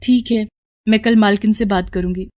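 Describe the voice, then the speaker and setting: A woman's voice: mid-range voice, fairly even intonation, normal speed, lo-fi sound, natural voice. Woman as poor housemaid wife, worried, dutiful, pleading tone, mid-range voice, storytelling. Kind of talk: Hindi moral story dialogue.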